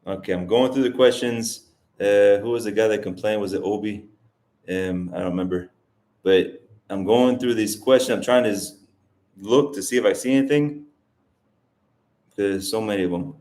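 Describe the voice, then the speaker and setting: A man's voice: on the low side, moderate pace, darkish tone. A man around forty hosting a casual livestream, answering viewer questions and thinking aloud with frequent restarts. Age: 40s. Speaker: livestream host. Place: lives in Colombia as an immigrant.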